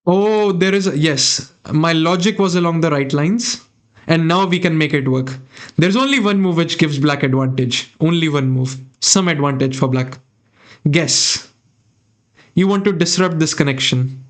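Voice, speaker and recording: Young male chess streamer, Indian accent, slightly higher voice, musing and chatty, desk mic in a small room. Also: a little slow. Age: young adult. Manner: thinking aloud, chatting with viewers. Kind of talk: live chess commentary.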